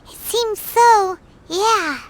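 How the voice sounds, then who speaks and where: A woman voicing a tiny, earnest, childlike female fairy companion in a video game, with a squeaky voice. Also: extremely slow, a little hiss or hum, exaggerated voice. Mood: hesitant curiosity. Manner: simple, earnest, speaks of herself in third person. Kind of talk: video game cartoon-like character voice acting. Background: prominent background music.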